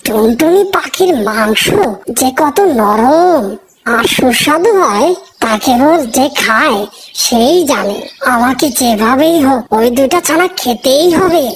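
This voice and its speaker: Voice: high-pitched, animated, slow pace, vocal fry, over a noisy crowd, exaggerated voice, low-quality recording. An actor voicing a sly, greedy, bullying fox in a children's cartoon, with a taunting, threatening manner. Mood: scheming, greedy. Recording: music behind the voice.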